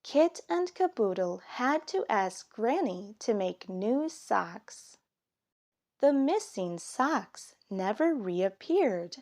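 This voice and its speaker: Slow, expressive woman reading a storybook. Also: medium pitch. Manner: expressive, measured storytelling for young children. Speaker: storybook reader. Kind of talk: children's story read aloud, scripted narration.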